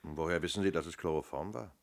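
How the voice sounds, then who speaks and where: An actor in a German radio play playing a methodical, sceptical middle-aged police inspector who questions in a clipped, probing way. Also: male voice, low-pitched, quick pace, vocal fry.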